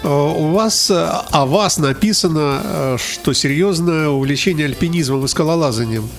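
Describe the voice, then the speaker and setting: A man's voice: on the high side, moderate pace. A man hosting a chatty, informal interview, throwing in jokey asides as he asks questions. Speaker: interviewer, host. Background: faint music.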